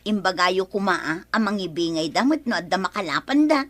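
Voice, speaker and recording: A woman's voice: lowish voice, expressive, moderate pace, no echo, lightly acted. A woman playing a gossipy, easily miffed village neighbor in a comedy radio drama, with an Ilocano accent. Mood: lively.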